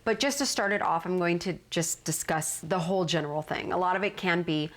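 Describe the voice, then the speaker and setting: Woman skincare vlogger, lowish voice, a bit stuffed up from a cold, earnest explainer. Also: fairly quick. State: nasal from a cold. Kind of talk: casual instructional talk.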